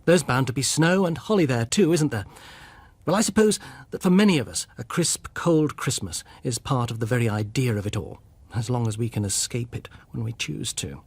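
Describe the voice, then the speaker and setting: A man's voice: mid-range voice, normal speed, a little mellow, breathy edge, dry recording. A man presenting scripted narration in a conversational storytelling way, speaking directly to the listener.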